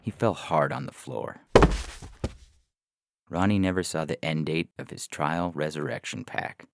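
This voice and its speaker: A man's voice: extremely low pitch, measured pace, vocal fry, no echo, studio quality. A man narrating scripted science fiction in a wry, dramatic storytelling manner. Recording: music bed behind the voice.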